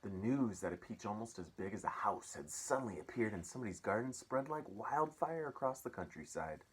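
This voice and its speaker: A man reading a children's story aloud as an expressive storyteller. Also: lowish voice, brisk, a little hiss or hum, poor audio.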